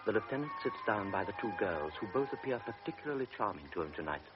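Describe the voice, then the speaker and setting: A man narrating a radio drama in a measured, quiet way. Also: lowish voice, sing-song. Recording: dull old radio recording with music.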